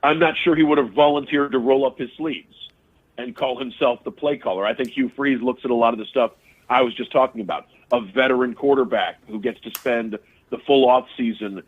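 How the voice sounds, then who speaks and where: A man's voice: medium pitch, brisk. A man, a sports radio broadcaster, giving casual interview analysis of college football, often restarting his phrases as he thinks. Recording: tinny phone line.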